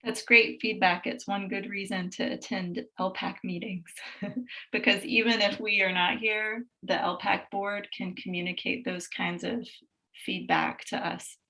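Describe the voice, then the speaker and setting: A woman with a mid-pitched voice runs an online meeting over a video call. She sounds friendly and upbeat, talking casually as she guides the attendees. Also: little pitch movement, a little slow, creaky, sharp tone, low-quality recording. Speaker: meeting facilitator.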